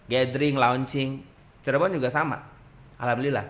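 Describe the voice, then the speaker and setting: A young adult man, a dance group founder and trainer, chatting on a podcast in an animated way. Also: medium pitch, moderate pace. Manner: animated, sings melodies and acts out quoted voices. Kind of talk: casual podcast conversation.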